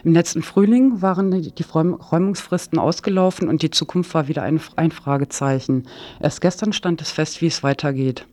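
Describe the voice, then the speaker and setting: A man presents a radio news report from a script. His voice sits on the high side for a man, with a dry sound and no room echo. He occasionally stumbles over words while reading. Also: fairly quick, mellow.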